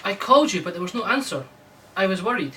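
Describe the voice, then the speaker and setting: A man acts an attentive, worried husband in a radio play. His bright voice coaxes hesitantly, recorded some way from the mic over faint noise. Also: sits high, big pitch swings, fast, far from the mic, heavily acted, poor audio. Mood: concerned. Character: worried, attentive husband Freddy, gently coaxing. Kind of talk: radio drama acting.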